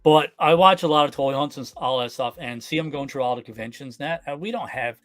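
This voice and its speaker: A man from Canada chatting casually on a live stream, relaxed and full of fillers. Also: medium pitch, fast talker, no echo. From Canada, Newfoundland. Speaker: guest collector.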